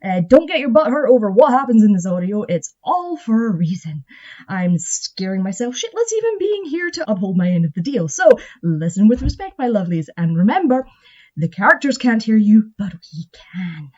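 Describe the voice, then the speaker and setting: A woman hosting an audio roleplay in a theatrical, in-character way, cheeky, sweary and expressive. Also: mid-range voice, moderate pace, lo-fi sound. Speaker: voice actor and channel host.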